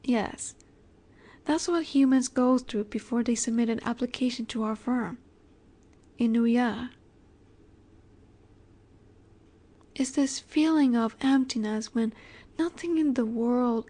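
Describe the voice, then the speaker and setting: A woman playing a poised, teasing young vampire in an intimate audio roleplay, speaking gently and unhurriedly with breathy asides. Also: fairly high pitch, a little slow. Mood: pensive, soft.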